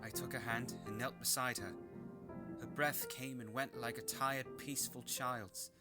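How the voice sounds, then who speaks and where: A man playing an earnest, sombre Victorian doctor in a radio drama, measured in delivery, with music underneath. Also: mid-range voice, steady tone, lightly acted. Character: earnest, grieving Victorian doctor narrating his diary. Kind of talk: radio drama diary narration and acting.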